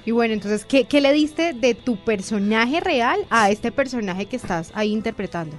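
Female interviewer, friendly, slightly high pitch, light music bed. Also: animated, moderate pace. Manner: friendly, laughs easily. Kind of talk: interview questions.

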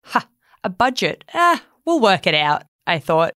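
A woman's voice: medium pitch, sing-song, measured pace, sharp tone, no room sound, clean studio sound. A woman reading her own first-person piece in a wry, self-deprecating way. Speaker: writer reading own article. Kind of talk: scripted first-person narration.

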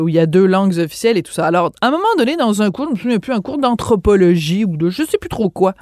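A woman in her fifties with a Quebec French accent tells a personal story in a lively, chatty way. She pokes fun at herself as she goes. Her voice sits at an ordinary pitch, and the recording is dry and close. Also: dry recording. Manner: lively, chatty, self-mocking. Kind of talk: casual storytelling in radio talk.

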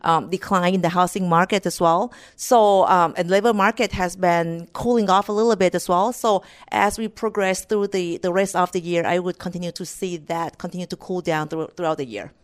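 A woman's voice: on the low side, moderate pace, hardly any pauses, clear, resonant tone. A woman from Thailand with a Thai accent, answering interview questions as an economist, earnest and restarting her words often. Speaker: corporate economist, guest.

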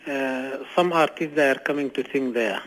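A man with non-native accented English answers a customer service phone call as a ticket agent, polite and halting, repeating himself. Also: mid-range voice, fairly bright tone. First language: not English. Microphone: telephone line.

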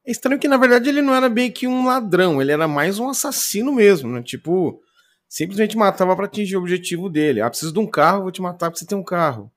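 Male guest, rapid delivery, casual reactive commentary. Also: high voice, lively intonation. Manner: quick, reactive commentary with "né" tags. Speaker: podcast guest. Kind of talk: casual chat.

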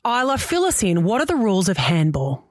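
A woman's voice: medium pitch, very animated, fairly quick, no echo, studio quality. A woman in her early forties with an Australian accent, chatting casually as a radio breakfast co-host. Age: early 40s.

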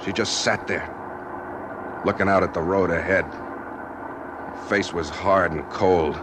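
A man's voice: steady tone, slow pace. Desperate man in noisy old radio thriller. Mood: grim narration. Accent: American. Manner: stammering, pleading, hard-boiled. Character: desperate man held at gunpoint while driving, wry narrator. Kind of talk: 1940s radio drama acting. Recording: noisy 1940s radio transcription, engine rumble.

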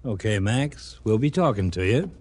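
An actor playing a dry, no-nonsense American police lieutenant in an old-time radio drama, terse and authoritative. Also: male voice, fairly low pitch, animated, moderate pace, a little mellow, slightly rough.

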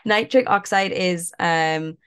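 A woman guest, a yoga and mindfulness coach, talking in a relaxed way with lots of fillers while stuffed up and a bit croaky. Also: fairly low pitch, takes it slowly. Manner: relaxed, many fillers like "like" and "you know". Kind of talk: casual interview talk, guiding an exercise.

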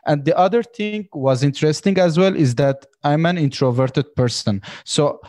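A man's voice: on the high side, normal speed, darkish tone, no echo. Earnest young man with a dry sound. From Syria. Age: 20s. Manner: earnest, many fillers like "you know". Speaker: podcast guest. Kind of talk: casual conversation.

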